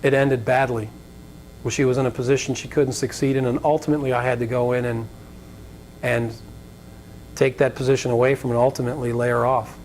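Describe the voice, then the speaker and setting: An American man gives an informal guest lecture in a slightly raspy voice of ordinary pitch. He is conversational and jokey, wandering through anecdotes. The live recording carries a faint rumble of background noise. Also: level tone, a little fast, darkish tone, rough recording. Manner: conversational, joking, digressive. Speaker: guest speaker, business leader. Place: college lecture event before an audience.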